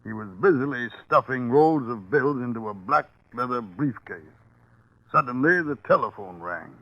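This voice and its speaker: A man as a retired New York police inspector gravely narrating a case in a scripted 1940s radio drama. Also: mid-range voice, lively intonation, dry recording, natural voice. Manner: measured, grave storytelling. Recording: dull vintage 1940s radio broadcast.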